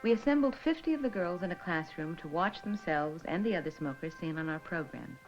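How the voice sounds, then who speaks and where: Low-voiced woman narrating a documentary. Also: animated, brisk. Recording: dull old educational film soundtrack, music behind.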